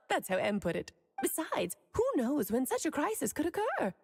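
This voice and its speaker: A woman's voice: high voice, wildly swooping pitch, unhurried, gravelly, dry recording, soft background music, full character voice. A woman voicing a breezy, mischievous mage in an anime game, airy, theatrical and teasing. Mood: breezy, explaining.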